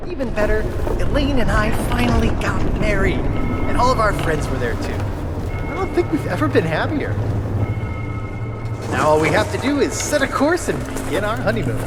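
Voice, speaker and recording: A man playing an earnest, excitable young pirate hero who reads out his diary in a video game. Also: sits high. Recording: loud music under the voice.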